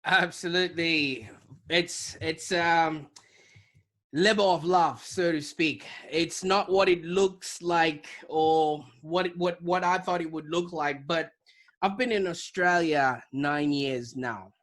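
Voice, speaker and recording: A man from Zimbabwe, an interview guest telling his story in a lively, animated way over a video call. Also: a little high, slow. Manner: animated, vivid storyteller with repetitions. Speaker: guest, digital marketing business owner.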